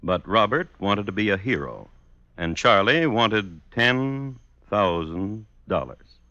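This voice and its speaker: A man with an American accent narrating an old-time radio drama in a deliberate, wry, mock-formal way. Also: fairly low pitch, expressive, sharp tone, dry recording, dull audio.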